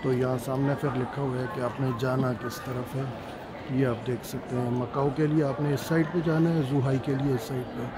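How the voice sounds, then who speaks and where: A man giving casual on-location tour commentary as a travel vlogger, explaining things in a halting way with pauses mid-sentence. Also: medium pitch, flat delivery, a loud crowd. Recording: noisy on-location recording with vehicle ambience. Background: clear ambient travel and vehicle noise.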